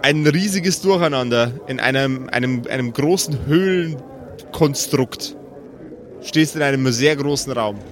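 Male game master, mid-pitched, improvised narration that circles back on its own words. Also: a little slow.

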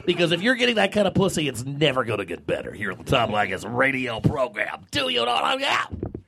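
A man's voice: mid-range voice, wildly swooping pitch, a little fast, vocal fry, noisy background. A man playing a crude, bragging, misogynist radio shock jock in a parody talk-show act. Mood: crude, goading. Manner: pompous, boastful, insulting, calls people baby.

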